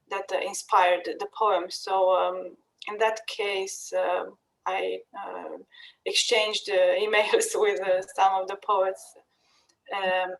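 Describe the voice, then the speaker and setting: Halting, creaky-voiced woman, very slow. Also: mid-range voice, low-quality recording. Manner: halting, hesitant delivery with many pauses. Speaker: poetry translator. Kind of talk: panel discussion, explaining working method.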